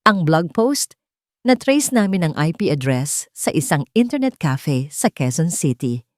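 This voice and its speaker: A woman's voice: deep voice, very animated, unhurried, dry recording, studio quality. A woman with a Filipino accent voicing a businesslike male investigator who reports urgently and deferentially in an audio story.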